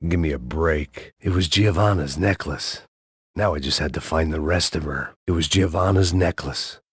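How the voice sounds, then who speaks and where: An actor playing a middle-aged, hard-drinking ex-cop in a noir video game, with a deadpan, sardonic, self-loathing manner. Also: male voice, extremely low pitch, moderate pace, husky, dry recording, clean studio sound, full character voice. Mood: sardonic, brooding. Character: world-weary, hard-drinking male ex-cop turned failing bodyguard.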